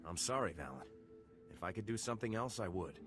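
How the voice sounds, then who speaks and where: An actor playing a stoic lieutenant in a video game, controlled and matter-of-fact. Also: male voice, on the low side, expressive, brisk, over music, close to an everyday voice. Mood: sober, apologetic. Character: stoic, decisive young military lieutenant hero.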